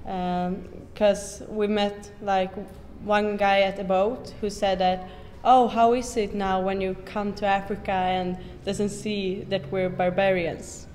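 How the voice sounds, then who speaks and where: An eighteen-year-old woman from Sweden with a Swedish accent, talking eagerly in quick bursts as an exchange student in a casual group chat. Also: mid-range voice, level tone, a little mellow. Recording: reverberant room, faint background noise.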